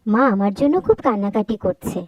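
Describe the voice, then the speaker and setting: A woman's voice: medium pitch, a little fast, a little mellow, dry recording, natural voice. A woman playing an anxious, lonely young bride in a cartoon story, hesitant and plaintive. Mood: pleading. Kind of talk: Bengali cartoon story dialogue.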